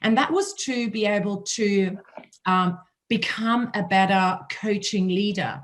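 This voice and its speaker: A woman with a German accent and a raspy voice, hosting a panel talk and telling her own story in a conversational way. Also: medium pitch, unhurried. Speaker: host.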